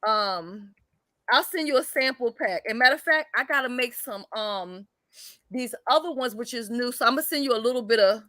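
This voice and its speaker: Raspy bright-voiced woman host. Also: fairly high pitch, animated, normal speed, no room sound, clean studio sound. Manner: loud, salty, laughing storyteller. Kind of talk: casual livestream chat.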